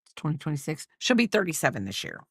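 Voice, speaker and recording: A woman's voice: low voice, sing-song, fast talker, husky, no room sound, studio quality. A woman in her fifties chatting casually on a crochet livestream, rambling and reading out viewer comments. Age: 50s.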